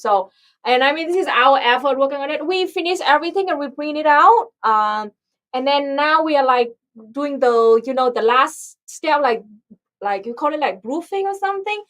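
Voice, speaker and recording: A young woman with a Vietnamese accent, chatting casually as the host of a livestream. Her voice sits a little high. She talks in halting bursts and stops often to find the right word. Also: fairly bright tone. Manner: halting, searching for words.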